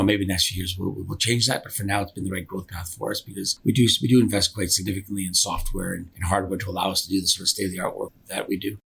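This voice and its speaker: A man's voice: low-pitched, creaky, fairly bright tone, slight background noise, poor audio. A man speaking casually as a company director in an interview, often repeating words and restarting phrases as he explains. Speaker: business founder and company director being interviewed.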